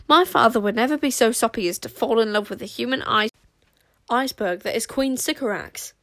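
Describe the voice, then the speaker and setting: A woman's voice: fairly high pitch, fairly quick, a bit bright. A woman voicing a proud, scornful boy who speaks with disgust.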